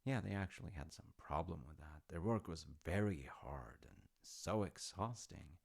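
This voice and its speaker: A man's voice: low voice, animated, normal speed, gravelly, a little mellow, heavily acted. A man playing an easygoing dad in an intimate audio roleplay, telling old myths casually with wry, understated humour. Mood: wryly amused. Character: easygoing, artsy dad telling Norse myths to his grown child.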